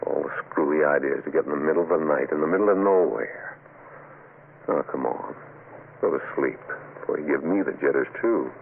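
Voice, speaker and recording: A man's voice: extremely low pitch, a little fast, vocal fry. An actor playing a skeptical, brusque but nervous husband and father in a 1970s radio suspense drama. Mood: dismissive. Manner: dismissive, reassuring, uneasy. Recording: tinny, noisy old radio recording.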